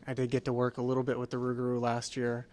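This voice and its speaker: A young man, a guest in a casual interview, polite and soft-spoken, answering with many pauses and fillers. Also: mid-range voice, completely flat delivery. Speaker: guest, group sales consultant.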